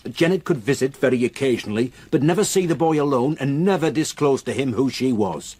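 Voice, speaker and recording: An actor playing a grave, reluctant local countryman in a radio drama, with a solemn, halting way of telling a dark story. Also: mid-range voice, lively intonation, husky, no echo. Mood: sombre recounting.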